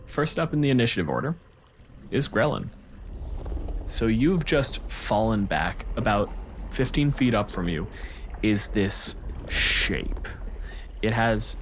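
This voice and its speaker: A man's voice: on the low side, very animated, slow pace, pauses often, a little hiss or hum. A man running a tabletop roleplaying game as game master, narrating in a casual, dryly wry way.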